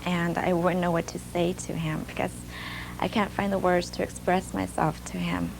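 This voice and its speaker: A young woman talks in a soft, halting, reflective way, with a gravelly fry running under many of her words. Her voice comes from an older video clip, with a faint hiss behind it. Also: on the low side, little pitch movement. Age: young adult. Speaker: former student telling her story in a video. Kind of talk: personal testimony. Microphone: archival video clip played during a webinar.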